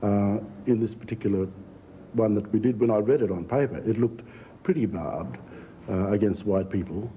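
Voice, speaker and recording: A man speaking reflectively in an interview as a theatre practitioner, measured and plain-spoken. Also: fairly low pitch, muffled recording, a little hiss or hum. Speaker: theatre group member being interviewed.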